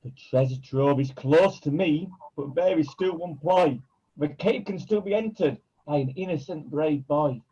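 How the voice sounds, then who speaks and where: An actor playing a scheming pantomime villain who poses as a kindly uncle, speaking in theatrical, wheedling rhyme. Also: male voice, on the high side, unhurried, dark tone, slightly rough, dull audio. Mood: persuasive, dramatic. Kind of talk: rhyming pantomime acting.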